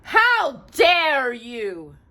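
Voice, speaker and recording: A woman's voice: high voice, huge pitch swings, drawn-out words, pushed and bright, pitched above the performer's own voice, full character voice. Woman as a proud, defiant young princess. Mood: indignant. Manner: defiant, emphatic.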